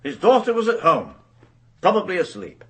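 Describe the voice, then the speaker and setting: A man's voice: high-pitched, big pitch swings, normal speed, a bit bright. An actor playing an insistent advocate defending an accused railwayman in a radio drama.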